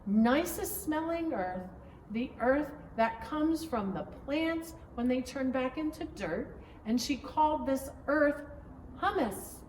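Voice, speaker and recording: A woman pastor reading a children's story aloud in an expressive, measured storytelling manner. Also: sits high. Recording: roomy echo, distant mic, faint noise.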